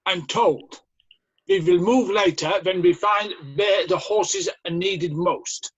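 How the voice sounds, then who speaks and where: Casting: commanding wartime camp officer read by a man, high husky voice, measured delivery, slightly muffled audio. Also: exaggerated voice. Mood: curt, firm. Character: brusque commanding German army camp officer. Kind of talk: amateur screenplay read-through.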